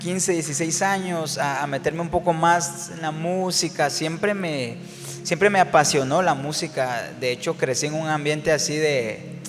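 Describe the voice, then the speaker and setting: A man from Guatemala, a worship singer telling his story in a relaxed interview, reflective and full of fillers and restarts. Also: fairly high pitch, measured pace, long reverb tail, music in the background.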